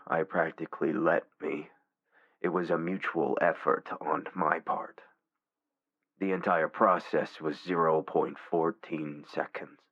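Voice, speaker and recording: A man playing a godlike war supercomputer in a dramatic villain monologue, brooding, deliberate and grandiose, full of contempt for humanity. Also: extremely low pitch, muffled recording, heavily acted. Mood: cold contempt.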